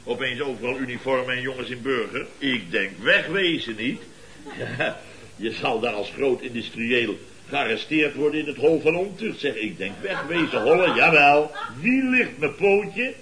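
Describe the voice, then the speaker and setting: An actor playing a blustering, indignant middle-aged boss of a building firm in a radio comedy, exclaiming and repeating himself. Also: male voice, medium pitch, expressive, normal speed, sharp tone, low-quality recording. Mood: agitated storytelling.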